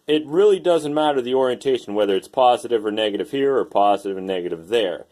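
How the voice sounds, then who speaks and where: A man's voice: mid-range voice, a little mellow. A man casually explaining a how-to project in a blunt, folksy, sweary manner. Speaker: DIY video host.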